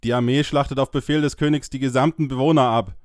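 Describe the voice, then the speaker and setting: A man's voice: mid-range voice, steady tone, fast talker, dry recording, lightly acted. Fantasy audio drama warrior male actor: earnest, urgent, old-fashioned formal wording, mid-range voice in a dry recording. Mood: grave, urgent. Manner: formal archaic diction, solemn.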